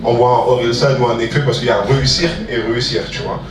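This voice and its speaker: A man answers questions in slangy, emphatic French street style, repeating himself for stress. His pitch is mid-range. He is picked up from a distance in an echoing room with an audience murmuring. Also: fairly even intonation, quick pace, a crowd in the background. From Ivory Coast. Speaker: music producer and entrepreneur, guest speaker. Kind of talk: informal public question-and-answer talk. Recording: roomy hall, distant mic, audience murmur.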